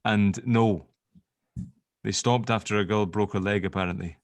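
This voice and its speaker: A man's voice: lowish voice, steady tone, moderate pace, no room sound, close to an everyday voice. Man acting protective, rambling middle-aged dad, close dry sound. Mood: rambling, wistful. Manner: hesitant, chatty, fatherly. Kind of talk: table read of an audio drama script.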